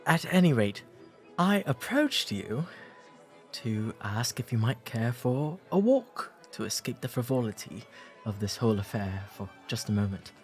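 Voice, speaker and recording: Man playing a courteous English period gentleman, music behind. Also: medium pitch, very animated, a little slow. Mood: earnest, polite. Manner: formal, polite old-fashioned diction with hesitant, gentle delivery. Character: courteous, shy, bookish 19th century English gentleman, gently fond. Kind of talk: romantic period roleplay audio drama.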